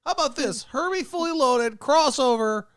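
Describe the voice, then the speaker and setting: A man voicing an excitable, greedy Hollywood executive with gushing, pushy sales patter in an improvised comic skit. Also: very high-pitched, moderate pace, no room sound, pitched above the performer's own voice. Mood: gushing, eager.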